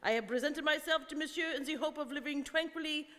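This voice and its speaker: A man acts a valet in a radio play, high in pitch and formally polite. He is eager to please his master. The sound has a long hall reverb. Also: quick pace, bright, forceful tone. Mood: deferential, earnest. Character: deferential Frenchman seeking a manservant's post, former jack-of-all-trades. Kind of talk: stage radio drama acting.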